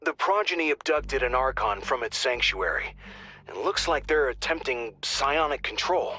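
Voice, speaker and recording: A man's voice: medium pitch, animated, normal speed, sharp tone, slightly rough. A man playing an informal, earnest intel officer who gives squad updates in a video game, in a conversational way. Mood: alert. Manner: conversational, alert. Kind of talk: scripted video game radio briefing. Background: faint music.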